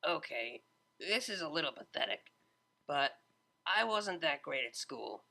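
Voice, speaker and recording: Male reader as a young dropout DJ mare, breezy and slangy, slightly high and gravelly. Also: very animated, a little slow, bright, forceful tone, heavily acted. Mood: casual. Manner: casual, slangy, a bit self-mocking. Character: laid-back young female unicorn DJ, a blunt dropout. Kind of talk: fan audiobook character voice.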